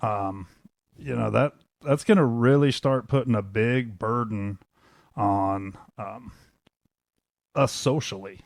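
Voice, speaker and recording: A man speaking as a podcast guest in casual conversation, hesitant and pausing between short phrases. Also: mid-range voice, gravelly, dry recording, clean studio sound.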